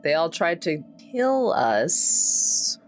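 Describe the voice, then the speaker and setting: Animated lower-pitched woman gaming. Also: drawn-out words, faint music behind. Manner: animated, exclamatory. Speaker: player in a tabletop roleplaying game. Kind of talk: casual playful game chat.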